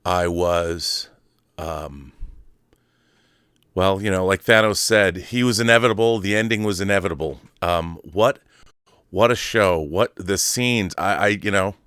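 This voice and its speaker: Male podcast host, lowish voice, theatrical and wordy, dry close sound. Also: a little slow, fairly bright tone, clear, resonant tone, no echo. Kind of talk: casual solo commentary and letter reading.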